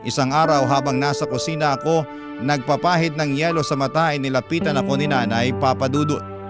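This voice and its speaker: A male host narrates a listener's story in a slow, emotional storytelling voice. His voice sits at a middle pitch. Loud music plays under him the whole time. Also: fairly even intonation. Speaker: radio drama host narrator. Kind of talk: scripted narration of a listener's letter. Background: loud background music.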